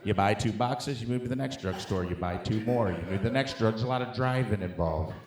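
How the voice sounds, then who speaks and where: A man's voice: fairly low pitch, a little fast. A middle-aged American man doing conversational, profane stand-up comedy, talking emphatically and directly to a live audience. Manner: conversational, profane, emphatic, addressing the crowd as dude and guys. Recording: echoey live comedy room.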